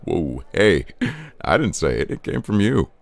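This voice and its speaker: An actor playing a suave, teasing, flirtatious man in an audio roleplay, smooth-talking and informal. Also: low-pitched, big pitch swings, unhurried, full character voice. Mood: flirtatious, playful.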